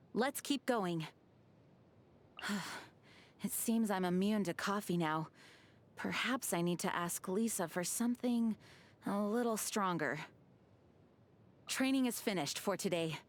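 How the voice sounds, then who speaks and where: An actress voicing a dutiful young woman knight and leader, speaking in a measured, earnest, formal way. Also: mid-range voice, animated, natural voice. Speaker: game character voice. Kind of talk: game character voice lines.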